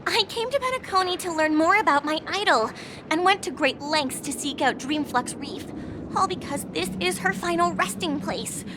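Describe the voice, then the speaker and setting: A high-voiced, eager young woman scholar, earnest and formal as she explains. There is clear noise behind her. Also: lively intonation, normal speed. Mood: earnest, wistful. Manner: enthusiastic, formal, expository. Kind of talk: video game voice acting.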